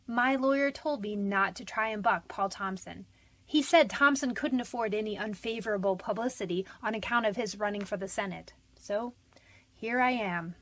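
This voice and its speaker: A woman's voice: medium pitch, moderate pace, fairly bright tone, natural voice. An actress playing a frightened but defiant young convicted woman in a radio detective drama, defensive, pleading and slangy. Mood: bitter.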